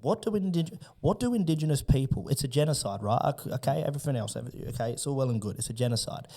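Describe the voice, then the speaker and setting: A man's voice: medium pitch, animated, fast, gravelly, mellow. A man with an Australian accent giving blunt, emphatic opinions in casual podcast talk, swearing heavily.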